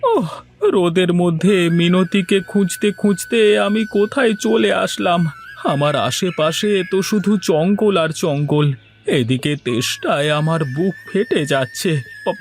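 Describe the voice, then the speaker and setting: Man voicing high-pitched, anxious young husband. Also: moderate pace, faint music behind. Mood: despairing. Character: earnest, anxious young village husband and devoted son. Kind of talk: melodramatic cartoon voice acting.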